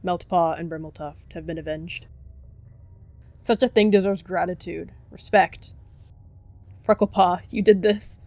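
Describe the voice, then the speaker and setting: A woman's voice: fairly low pitch, steady tone, a little slow, long pauses, darkish tone, dry recording, faint music behind. A woman voicing a solemn, commanding male cat who leads a clan, speaking in a declamatory, ceremonial way. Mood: stern, commanding.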